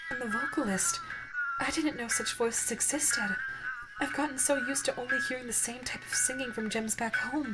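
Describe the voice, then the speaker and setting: A woman's voice: fairly high pitch, lightly acted, rough recording. Woman voicing a regal, wonderstruck alien gem lady, music behind. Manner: formal, wondering, halting when moved. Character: regal female alien gem, curious, tender, easily awed. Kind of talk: ASMR audio roleplay acting.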